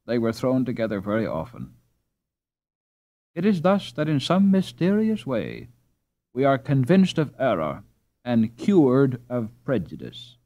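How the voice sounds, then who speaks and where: A man reads a story aloud as an audiobook narrator. His delivery is slow and measured, with dramatic pauses and a pitch that moves expressively across a wide range. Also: a little mellow.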